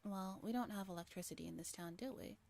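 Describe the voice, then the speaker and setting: A woman's voice: medium pitch, fast talker, vocal fry, a little mellow, lightly acted. Lady reading as a chill practical teen boy, explains stuff plainly, visual novel character. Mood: explaining.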